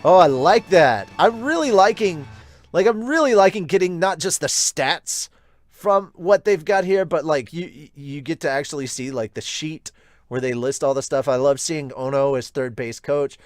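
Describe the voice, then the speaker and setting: A man's voice: fairly high pitch, moderate pace, dry recording, clean studio sound, faint music behind. A man hosting a manga review, reading aloud and commenting casually as he goes. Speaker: manga reviewer and podcast host.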